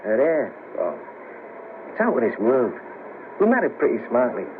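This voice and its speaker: Washed-up music manager, rueful, hesitant, animated mid-pitched voice, 1970s radio drama, muffled cassette with clear noise. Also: male voice, moderate pace. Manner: hesitant, rueful, clipped phrases. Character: down-on-his-luck ex band manager, disillusioned, easily shocked. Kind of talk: 1970s radio play acting.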